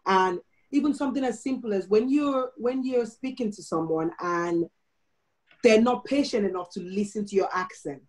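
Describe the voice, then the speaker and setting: A woman's voice: medium pitch, moderate pace, darkish tone, rough recording. A woman from Nigeria with a Nigerian accent, speaking as a guest on a video call panel in an earnest storytelling way.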